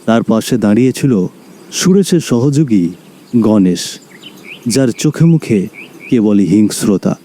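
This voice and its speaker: A man's voice: medium pitch, dark tone. A man narrating a scripted cartoon story in a dramatic storytelling style.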